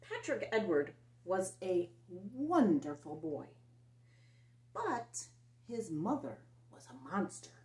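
Expressive middle-aged woman reading a storybook, roomy sound. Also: mid-range voice. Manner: expressive, theatrical emphasis with dramatic pauses. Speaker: children's storyteller reading a picture book aloud. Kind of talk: dramatic read-aloud for young children. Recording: roomy echo on the voice.

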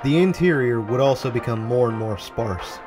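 Man narrating over music, middling-deep voice. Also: normal speed. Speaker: video narrator. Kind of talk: scripted documentary narration over a walkthrough video. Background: background music.

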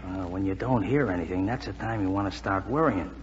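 An actor playing a wary American serviceman in a 1940s radio drama, talking colloquially and haltingly. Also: deep voice, natural voice. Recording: muffled, noisy, echoey 1940s radio recording.

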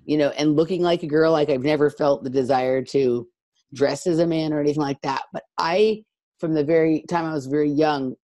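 A woman with a deep voice speaking candidly and reflectively in a discussion, with lots of fillers. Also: dark tone. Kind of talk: conversational discussion.

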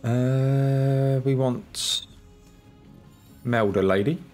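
A man's voice: mid-range voice, extremely slow, darkish tone, soft background music. A man hosting a casual let's-play, congested from a cold, talking in a laid-back, muttering, self-deprecating way into a desk microphone. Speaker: gaming channel host. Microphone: desk microphone, off camera.

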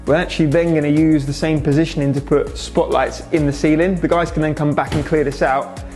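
Flat-voiced young man giving a vlog update. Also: fairly high pitch, brisk, faint music behind. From England. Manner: casual, matter-of-fact, lots of "so" and "obviously". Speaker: restaurant owner. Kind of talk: vlog update on a restaurant renovation. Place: restaurant under renovation, indoors.

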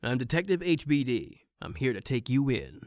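A man's voice: mid-range voice, very animated, moderate pace, a little mellow, dry recording, studio quality, lightly acted. A man playing an earnest private detective who narrates his own case in a noir-parody audio drama. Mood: determined.

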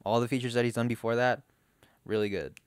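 Laid-back young man with a smooth, dry voice. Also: mid-range voice, steady tone, quick pace, no echo. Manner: laid-back, laughs often, swears casually. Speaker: podcast co-host. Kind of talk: casual chat. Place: living room. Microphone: boom mic on arm, headphones.

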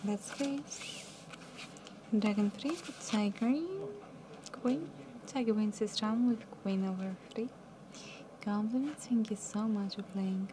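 Soft-spoken young woman dealing cards slowly. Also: medium pitch, noisy background. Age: young adult. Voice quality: soft, mumbly. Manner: calm, polite, repetitive dealer calls. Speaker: live casino card dealer. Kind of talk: announcing card game rounds. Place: casino studio table set.

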